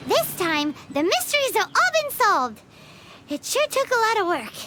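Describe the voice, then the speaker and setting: A woman voicing a tiny, childlike female fairy companion in a video game, squeaky, excitable, greedy and chatty. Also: moderate pace, noisy background. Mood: smug, matter-of-fact. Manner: excitable, refers to self in third person. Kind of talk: video game character acting. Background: game music.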